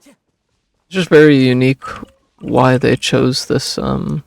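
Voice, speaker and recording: A man's voice: medium pitch, flat delivery, slow pace, vocal fry, no echo. A man giving casual, slangy, laid-back reactions as he streams a game. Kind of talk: casual gameplay reaction commentary.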